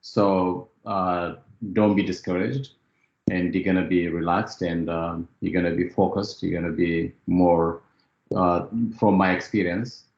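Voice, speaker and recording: A man's voice: deep voice, steady tone, unhurried, darkish tone, roomy sound, dull audio. A man from Ethiopia, a former student giving a testimonial interview, talking hesitantly with many fillers.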